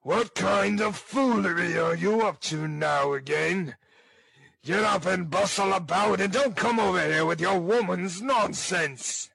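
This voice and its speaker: Savage, ill-tempered criminal played by a male reader, barking and growling in a storybook, pushed up and forceful. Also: a little high, slow pace, vocal fry, no room sound, voice pushed up, heavily acted. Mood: savage, impatient. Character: brutal, ill-tempered housebreaker, savage and impatient man.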